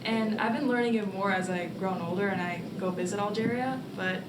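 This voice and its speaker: A young woman answers casually, like a student at a meeting. She sounds distant from the microphone. Her mid-pitched voice echoes in the room, with noise clearly behind it. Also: a little fast.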